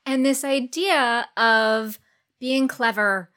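A woman's voice: on the high side, slow, bright, forceful tone. A middle-aged woman guest chatting casually on a podcast, telling stories and correcting herself as she goes. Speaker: podcast guest, writer and actor. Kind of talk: casual chat.

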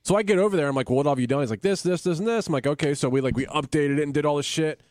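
A man on a radio show, cracking jokes and teasing the host while telling quick stories. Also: a little high, darkish tone, dry recording, studio quality. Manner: fast, joking, teasing. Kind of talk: casual radio banter.

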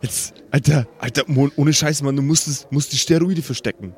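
A man's voice: mid-range voice, moderate pace, natural voice. A man voicing a panicky orc who talks like a slangy gym bro in a tabletop game. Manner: slangy bro talk, exclaiming.

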